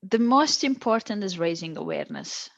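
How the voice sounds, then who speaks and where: A woman's voice: medium pitch, animated, normal speed, fairly bright tone, no room sound, dull audio. A woman, a guest who answers with emphatic, repeated phrases. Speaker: guest, stateless-rights advocate. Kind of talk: earnest interview answers.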